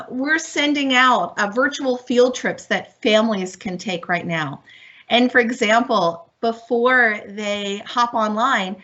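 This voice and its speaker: A woman's voice: on the high side, a bit bright. A woman from Miami whose first language is Spanish, giving an upbeat, encouraging webinar presentation to teachers over a video call. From Miami, United States. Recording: dull lo-fi webinar audio.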